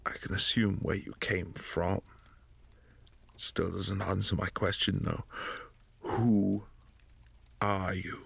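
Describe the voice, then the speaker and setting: Man voicing a vampire prince, possessive, commanding, deep gravelly voice, husky edge, ASMR roleplay. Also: level tone, takes it slowly, pauses often, no echo, exaggerated voice. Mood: cool, imperious. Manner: formal, commanding, softly menacing.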